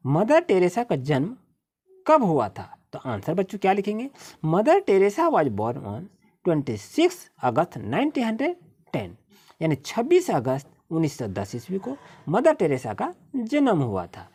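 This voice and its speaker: A woman with an Indian accent teaching a school lesson to children, reading English sentences slowly word by word and then explaining them. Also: fairly low pitch, wildly swooping pitch, warm tone, slightly rough.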